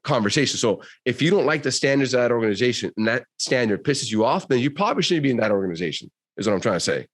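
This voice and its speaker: A man's voice: mid-range voice, lively intonation, quick pace, few pauses. A man with a Cuban American accent, a podcast guest who talks in an emphatic, motivational way. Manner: emphatic, motivational, says dude. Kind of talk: casual conversation.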